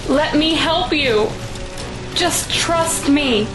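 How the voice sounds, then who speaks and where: A fiercely protective mother played by an actress in an audio drama. Her voice is high and unhurried, insistent and full of emotion, with music clearly underneath. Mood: urgent, determined. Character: stubborn, fiercely protective mother of a troubled boy.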